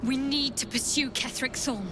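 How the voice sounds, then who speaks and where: A woman's voice: sits high, normal speed, heavy background noise, natural voice. A woman playing a determined female adventurer in a fantasy game, terse, decisive and urgent. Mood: urgent, grim. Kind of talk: dramatic video game acting.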